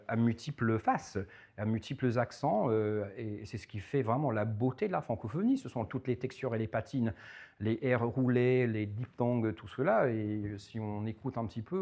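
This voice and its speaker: A man's voice: mid-range voice, darkish tone. Hesitant man lecturing. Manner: hesitant, many repeated words and fillers. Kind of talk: public lecture with audience questions.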